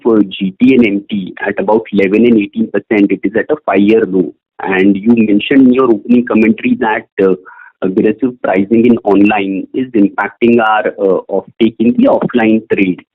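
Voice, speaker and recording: Male financial analyst, medium pitch, raspy voice, question-asking on a muffled conference line. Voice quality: gravelly, rough. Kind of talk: business question-and-answer.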